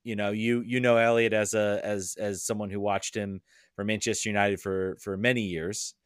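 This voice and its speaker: A man guesting on a podcast, his voice sitting a little low. He talks in a loose, halting way and doubles back on words as he works out his point. The sound is dry, with no room around it. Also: flat delivery, clean tone, dry recording. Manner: hesitant, repeats words while thinking aloud. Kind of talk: casual chat.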